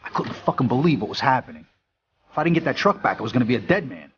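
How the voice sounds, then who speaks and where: An actor playing a jaded American trucker and war veteran, narrating a hard-boiled crime game in a matter-of-fact, world-weary way. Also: male voice, mid-range voice, sing-song, racing, fairly bright tone, breathy edge, muffled recording, heavily acted. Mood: grim. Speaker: narrator and player character.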